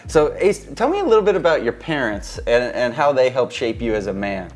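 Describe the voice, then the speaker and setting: A man's voice: medium pitch, big pitch swings, brisk. A man hosting an interview podcast, friendly and affirming, chiming in with short agreements. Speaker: podcast host.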